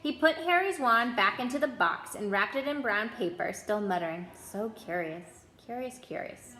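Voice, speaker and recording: A woman reads a story aloud as a storyteller for children, with expressive narration. Her voice is fairly high and bright, and the room adds a noticeable echo. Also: normal speed.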